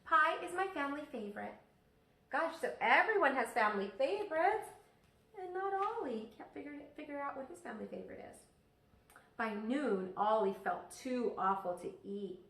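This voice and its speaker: A woman teacher reading a children's story aloud expressively, pausing to ask her listeners questions. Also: high voice, lively intonation, a bit bright. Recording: echoey room, distant microphone.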